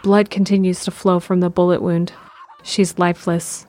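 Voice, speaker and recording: Low-pitched woman narrating. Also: level tone, normal speed, dark tone. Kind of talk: scripted audio drama narration.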